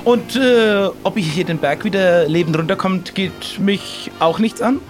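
A man as a gruff, cheeky slow-talking pirate. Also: high voice, soft background music. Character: gruff, cheeky, manly pirate after hot chilis. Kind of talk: improvised adventure audio play.

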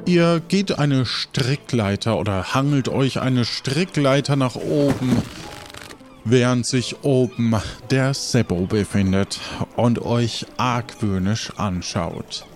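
A man narrating an improvised tabletop game as game master, halting and building scenes in short phrases. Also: medium pitch. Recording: music under the voice.

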